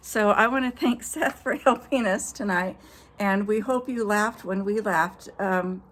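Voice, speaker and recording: A woman pastor telling children a story and a short devotional outdoors at night, speaking gently and directly to the kids. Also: mid-range voice, a little slow.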